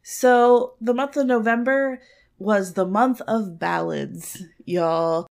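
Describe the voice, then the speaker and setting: A woman's voice: mid-range voice, slow, vocal fry, faint music behind. A woman co-hosting a podcast in casual chat, nasal-voiced, blunt and profane, often giggling. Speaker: podcast co-host. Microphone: home recording mic.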